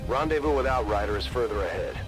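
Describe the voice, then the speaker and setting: An actor playing a watchful military mission commander in a video game, terse and commanding. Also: male voice, mid-range voice, lively intonation, quick pace, natural voice. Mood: cautioning. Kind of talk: dramatic video game acting. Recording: loud game music and battle effects.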